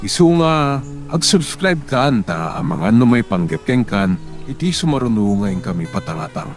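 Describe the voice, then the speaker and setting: A man's voice: medium pitch, sing-song, normal speed, faint music behind. A man with a Filipino accent delivering scripted promotional narration as the announcer of a drama channel.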